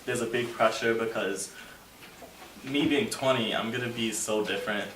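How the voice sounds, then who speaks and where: A man's voice: mid-range voice, fairly even intonation, normal speed, fairly bright tone. A man in his twenties speaking earnestly in a casual group discussion, rambling and using many fillers. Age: 20s. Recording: echoey room, distant mic, faint noise.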